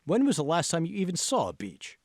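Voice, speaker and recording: A man plays a confident young male college buddy in an audiobook. His pitch climbs and swoops as he coaches, emphatic and insistent. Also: wildly swooping pitch, a little fast, a little mellow, no room sound, clean studio sound, full character voice. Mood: insistent encouragement. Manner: emphatic, prodding, persuasive. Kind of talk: audiobook character dialogue.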